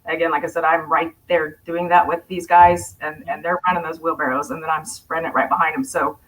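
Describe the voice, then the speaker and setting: A woman's voice: sits low, flat delivery, a little fast, fairly bright tone, rough recording. A woman who runs a landscaping business, speaking frankly on a video call panel with some fillers. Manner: frank, plain-spoken, with fillers. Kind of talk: casual panel discussion.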